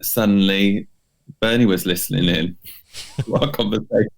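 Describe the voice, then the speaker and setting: A man co-hosting a podcast in casual chat, with a halting delivery that often repeats words. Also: lowish voice, vocal fry, rough recording. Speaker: podcast co-host.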